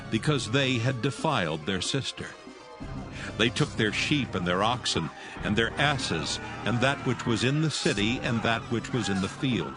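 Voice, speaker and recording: A man narrates scripture from a script in a measured, solemn way. His pitch is average for a man, and music plays clearly behind him.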